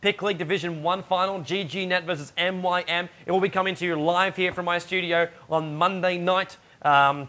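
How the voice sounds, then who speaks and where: A young man with a raspy voice, chatting and joking as an esports shoutcaster from his home studio. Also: on the high side. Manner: chatty and joking, with many fillers. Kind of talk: casual solo commentary during a game pause.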